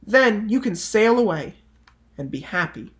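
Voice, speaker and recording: Storybook tree, female, played by a man: gentle, loving, generous, lifted pitch, coaxing delivery. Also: very animated, a little slow, heavily acted. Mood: kindly, giving. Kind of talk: picture-book read-aloud character.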